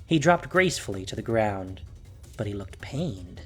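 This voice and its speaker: Low-voiced woman narrating with dramatic, swooping emphasis. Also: sing-song, moderate pace, slightly rough, soft background music. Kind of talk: dramatic scripted story narration.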